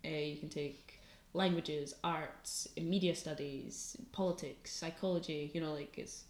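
Young woman with a light scottish lilt, low pitched, lots of likes and ums, roomy sound. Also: steady tone, measured pace, darkish tone. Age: young adult. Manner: chatty, laughs often, many fillers. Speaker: guest student. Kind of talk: casual podcast chat.

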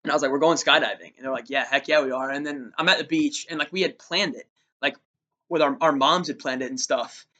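A loud eighteen-year-old man with a drawl, co-hosting a school podcast in rambling casual chat. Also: on the high side, steady tone, a little fast, muffled recording. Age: young adult. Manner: loud, rambling, self-interrupting. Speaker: student podcast co-host.

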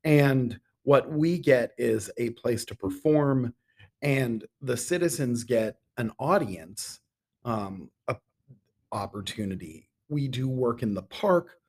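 Middle-aged male interview guest, mid-range slightly rough voice, measured, emphatic phrasing. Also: mid-range voice, animated, darkish tone. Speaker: interview guest, theater artistic director. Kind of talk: conversational interview answers.

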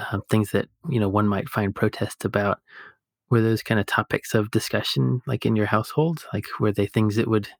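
A man's voice: on the low side, no echo. A man interviewing a guest, asking long, hedging questions full of "you know" and "kind of.". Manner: hedging, rambling questions with many fillers. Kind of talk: conversational interview.

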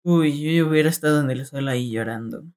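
A young man co-hosts a casual podcast. He often turns to address the listeners directly and shares advice in a relaxed, conversational way. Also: a little high, fast talker. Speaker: podcast co-host.

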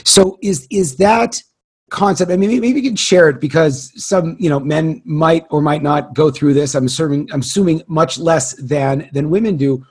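Husky higher-pitched man, halting interviewer. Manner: halting, wordy, repeats and rephrases. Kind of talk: conversational long-form interviewing.

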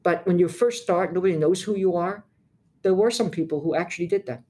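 Older woman from hong kong, lawyer being interviewed, frank and measured, stresses her points. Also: on the low side, warm tone. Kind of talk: reflective interview answers.

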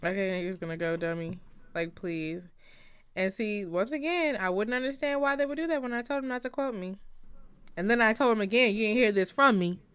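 A woman's voice: mid-range voice, fairly quick, a bit bright, clear, resonant tone. A woman from Guyana co-hosting a podcast, gossiping casually with slang and acted-out quotes. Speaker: podcast co-host.

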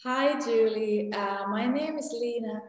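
High-voiced German woman in heavy reverb. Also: unhurried. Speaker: indie folk singer-songwriter, guest performer. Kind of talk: casual introduction to an acoustic set. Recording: very echoey, long reverb, music.

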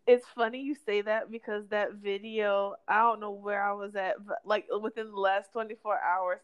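A woman co-hosting a podcast in casual chat, reacting with lively exclamations and slang. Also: medium pitch, moderate pace, clean tone, dull audio. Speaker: podcast co-host.

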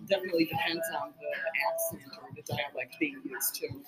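A woman from Chicago hosting a casual podcast chat, upbeat and quick to laugh. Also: low voice, fairly even intonation, music in the background, poor audio. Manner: laughs often, chatty and upbeat. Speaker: podcast host.

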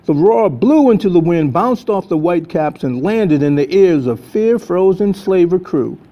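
A man's voice: high voice, animated, unhurried, dark tone. An older man reading an adventure story aloud as a storyteller, in dramatic scripted narration. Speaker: storyteller reading their own book. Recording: dull, lo-fi sound.

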